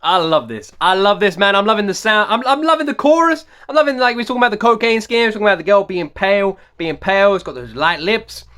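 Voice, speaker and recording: This reaction host has a strikingly high voice with a bright, forceful edge. He chats straight to his audience and echoes his own phrases. His excitement breaks into loud, incredulous outbursts, and his voice is wearing hoarse. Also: fast talker, few pauses. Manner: excitable, bursts into loud disbelieving shouts; talks straight to viewers, repeats phrases. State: voice going hoarse. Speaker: music reaction video host. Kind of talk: reaction vlog chat.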